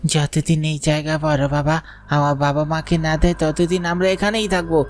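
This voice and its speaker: A woman voicing the eerie, accusing ghost of a dead young cousin in a horror cartoon, with a menacing, deliberate manner. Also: sits low, flat delivery, faint music behind, natural voice. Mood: vengeful, eerie.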